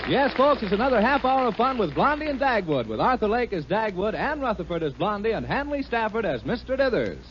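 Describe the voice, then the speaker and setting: A man's voice: high voice, very animated, a little fast, hardly any pauses, over loud music. A man announcing a 1950 radio sitcom in a hearty, showy manner. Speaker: show announcer. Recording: dull old radio broadcast.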